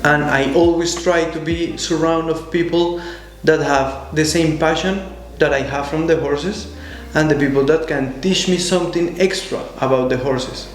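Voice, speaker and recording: A young adult Colombian man with a Spanish accent, speaking thoughtfully as an interview guest in halting non-native English. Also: on the high side, fairly even intonation. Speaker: guest, show jumper. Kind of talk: conversational interview. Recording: echoey room, faint music.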